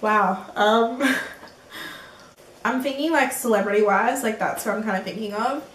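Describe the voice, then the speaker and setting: A woman's voice: a little high, a little slow, fairly bright tone. Giggly 18 yr old girl doing a beauty q&a, lots of um and like, roomy echo, a bit far from the mic, faint hiss. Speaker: beauty vlogger. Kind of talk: casual Q&A vlog.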